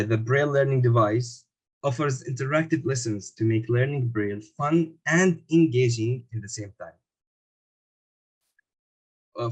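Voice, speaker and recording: A young man from Syria, just graduated in engineering, presents his team's project over a video call. He reads a prepared pitch at a steady pace, with a few grammar slips along the way. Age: young adult.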